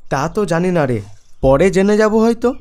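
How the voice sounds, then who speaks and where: A man voicing a tired young software worker in an animated horror story, weary yet friendly with his cousin. His voice sits a little high for a man. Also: moderate pace, smooth. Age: young adult.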